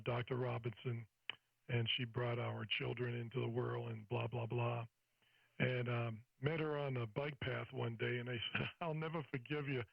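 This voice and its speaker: A man's voice: medium pitch, gravelly, no echo, dull audio. An older American man chatting casually and at length as a ham radio operator, handing over with radio procedure words. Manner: chatty, says "over" to hand off. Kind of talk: casual two-way radio chat.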